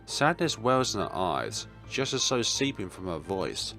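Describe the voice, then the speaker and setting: A young man with a fairly low voice reads a visual novel aloud. His pitch swings widely in theatrical, expressive narration. Game music plays underneath. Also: unhurried. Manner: theatrical, expressive, with playful asides. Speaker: let's player.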